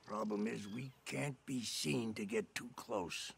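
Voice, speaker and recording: An actor playing a suspicious, bitter older family patriarch in a game, with a very creaky voice and a gruff, contemptuous manner. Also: male voice, on the low side, measured pace, heavily acted. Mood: conspiratorial. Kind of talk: dramatic game cutscene acting.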